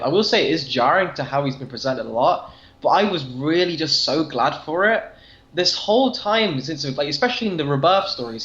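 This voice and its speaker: A man's voice: fairly high pitch, a bit bright. Male co-host, roomy muffled sound, casual opinionated review chat. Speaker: podcast co-host. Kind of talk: casual comic book review discussion. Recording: muffled, echoey room sound.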